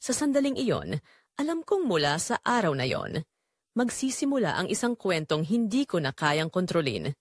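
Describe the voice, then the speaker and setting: A woman's voice: on the low side, big pitch swings, normal speed, slightly rough, no room sound, studio quality. A woman reading a scripted first-person romance story in a dramatic storytelling manner. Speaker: audiobook narrator.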